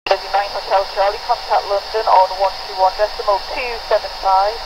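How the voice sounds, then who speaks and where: A woman's voice: mid-range voice, flat delivery, a little fast. A woman working as an air traffic controller, giving clipped instructions over a radio transmission.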